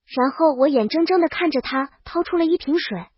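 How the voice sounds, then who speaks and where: A woman's voice: sits high, expressive, fairly quick, dry recording, highs cut off. A woman narrating a comedic story in the first person, in storybook style. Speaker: audiobook narrator.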